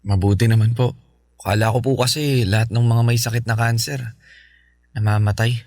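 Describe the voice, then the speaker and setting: A man playing a polite, caring schoolboy and older brother in a radio drama, with a halting, innocent way of talking. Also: mid-range voice, fairly even intonation, darkish tone, no room sound, lightly acted. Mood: worried, hesitant. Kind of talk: Filipino radio drama acting.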